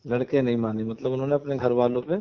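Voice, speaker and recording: A man, an imam, speaking on a phone call interview in a measured, preachy way, slowing down to stress moral points. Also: medium pitch, steady tone. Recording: muffled phone call audio.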